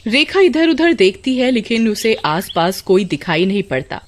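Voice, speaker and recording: Female narrator for scripted horror tales, mid-pitched, very animated delivery. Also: normal speed. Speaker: story narrator. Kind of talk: scripted dramatic narration of a Hindi horror story.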